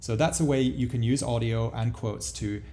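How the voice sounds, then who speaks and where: A man presents a software walkthrough in an informal, explanatory way. His voice is lower than most, with a mellow tone and a noticeable creak at the edges. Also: steady tone, normal speed. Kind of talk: casual product walkthrough.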